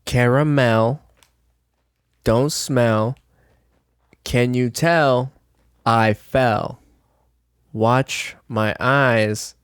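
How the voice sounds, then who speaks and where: A man's voice: medium pitch, fairly even intonation, very slow, pauses often, clean tone, no room sound, clean studio sound. A young man co-hosting a podcast, joking and swearing in playful banter. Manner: joking, profane, playful rhymes. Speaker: podcast co-host. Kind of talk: casual banter.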